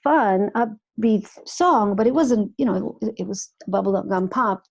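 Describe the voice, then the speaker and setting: A woman in her forties from Croatia, guesting on a podcast, talking in a warm, chatty way with frequent restarts. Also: mid-range voice, unhurried, creaky, husky, lo-fi sound. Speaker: guest, music artist and life coach. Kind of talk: casual podcast interview.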